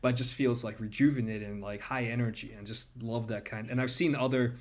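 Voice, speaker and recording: A man's voice: medium pitch, fairly even intonation, brisk. A man in a casual group chat, rambling and filling his talk with "like". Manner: rambling, full of "like".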